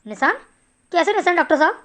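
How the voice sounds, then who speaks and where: Woman playing cartoon villager, high, quick, chatty, deferential, eager. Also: sing-song, fairly bright tone, full character voice. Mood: worried. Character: eager, respectful villager, soothing and talkative. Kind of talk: animated Hindi story dialogue.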